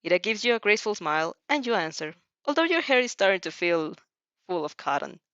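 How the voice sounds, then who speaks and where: A woman reading fan fiction aloud as narrator, giggly and animated, often tripping over words and restarting. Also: mid-range voice, a little fast, no echo. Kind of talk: animated story reading with asides. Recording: dull lo-fi microphone.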